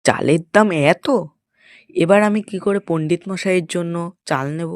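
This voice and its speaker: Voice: medium pitch, normal speed, no room sound, natural voice. A performer voicing a cheeky, fearless young schoolboy in a cartoon, exclamatory and plaintive in manner. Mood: anxious. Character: cheeky fearless schoolboy, reluctant pupil, little brother. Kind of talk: Bengali cartoon voice acting.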